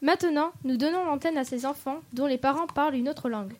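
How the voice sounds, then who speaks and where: A woman with a high voice introduces guests on a radio segment. She announces each one clearly, in a steady presenting tone. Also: fairly quick, over loud music.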